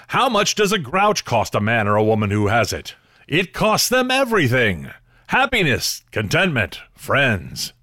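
A man's voice: on the high side, a bit bright, no echo, studio quality. An actor playing a theatrical, earnest male host of an old-time radio show, with polished scripted delivery. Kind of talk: 1930s radio narration and sponsor read. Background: faint music.

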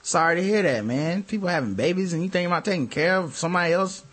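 A man's voice: high-pitched, quick pace, a bit bright, slightly rough. A man hosting a podcast with casual, exclamatory banter, joking and swearing as he reacts. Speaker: podcast host.

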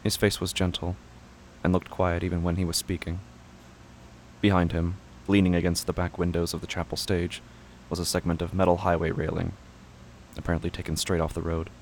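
Low voiced guy narrating a horror drama, gravelly, short halting sentences read slow and careful. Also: level tone, slight background noise, poor audio. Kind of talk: scripted narration in short clipped fragments.

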